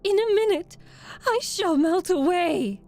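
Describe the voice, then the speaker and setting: A woman's voice: very high-pitched, expressive, measured pace, a little mellow, pitched above the performer's own voice, exaggerated voice. A woman voicing a wicked old witch in a dramatic storybook reading. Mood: wailing, despairing. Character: wicked old witch, scornful, wailing as she melts.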